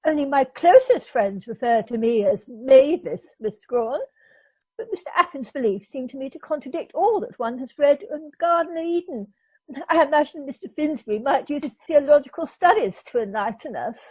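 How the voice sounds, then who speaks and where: A woman's voice: high-pitched, expressive, normal speed, dark tone, no room sound, dull audio. A woman acting a prim, haughty society lady in an amateur radio play, formal in manner.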